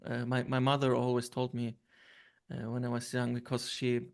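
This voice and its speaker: Gravelly-voiced man from Syria, hesitant. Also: medium pitch, monotone, moderate pace. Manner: hesitant, many fillers and restarts. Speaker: interview guest. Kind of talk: reflective interview answers.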